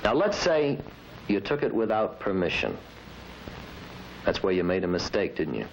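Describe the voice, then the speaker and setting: A firm, patient investigator, methodical and probing. His low voice moves freely up and down, over the faint noise of an old film soundtrack. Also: very animated, normal speed, rough recording. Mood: firm, reasoning. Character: firm, patient male law officer questioning a suspect. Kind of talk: mid-century training film acting.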